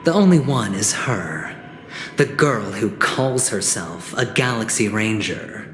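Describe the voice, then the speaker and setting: Sly young gambler-negotiator, cocky, teasing, theatrical game dialogue, reverberant, music underneath. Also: male voice, lowish voice, animated, slow pace. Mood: accusing, suspenseful. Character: smooth, scheming young male gambler-negotiator, cocky and insinuating. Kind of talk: dramatic video game voice acting.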